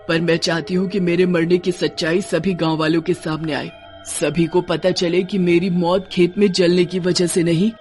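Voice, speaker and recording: A woman's voice: lowish voice, darkish tone, lightly acted. A woman voicing a female ghost witch, a wronged wife seeking justice, speaking resolutely in a cartoon horror story.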